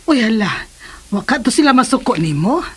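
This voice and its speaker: A woman's voice: on the high side, big pitch swings, moderate pace, a bit bright, husky, full character voice. An actress playing a motherly middle-aged aunt, fond and concerned, in a radio drama.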